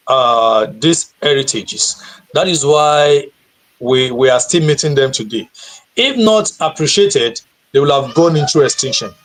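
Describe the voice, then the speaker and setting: A man's voice: a little high, a bit bright, rough recording. A man from Nigeria, a guide and teacher, answering questions earnestly on a video call, often repeating words as he searches for them. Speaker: guest tour guide and teacher. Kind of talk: live stream interview answer.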